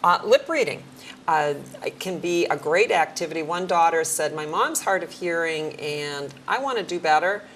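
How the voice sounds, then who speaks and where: A middle-aged woman, a hearing care professional, giving friendly practical advice in a conversational talk show, sometimes slowing down to demonstrate clear speech. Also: deep voice, animated, a bit bright, a little hiss or hum.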